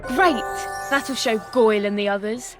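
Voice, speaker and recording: A woman playing a spirited, dry-witted teenage schoolgirl in a game, brisk with a sarcastic edge. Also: a little high, over music, lightly acted.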